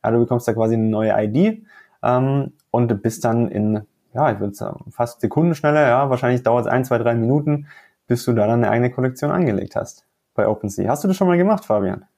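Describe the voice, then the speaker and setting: Man, slightly stuffy nose, enthusiastic podcast guest, mellow warm voice. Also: mid-range voice, brisk. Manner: enthusiastic, explaining, repeats emphatic phrases. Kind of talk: casual podcast chat.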